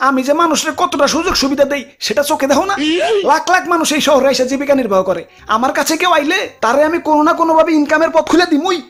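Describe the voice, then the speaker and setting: A man's voice: very high-pitched, racing, fairly bright tone. A man voicing a touchy, boastful city come to life in a comic cartoon, scolding in exaggerated Dhaka dialect over music. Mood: defensive, boastful. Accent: Bangladeshi, Dhaka dialect.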